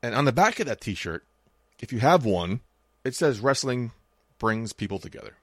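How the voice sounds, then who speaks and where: A man's voice: mid-range voice, big pitch swings, moderate pace, husky, no room sound, clean studio sound. A man in his late thirties co-hosting a podcast, telling a story in casual chat, swearing freely and acting out the quoted lines. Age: 30s. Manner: swears freely, tells anecdotes with quoted dialogue. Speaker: podcast co-host.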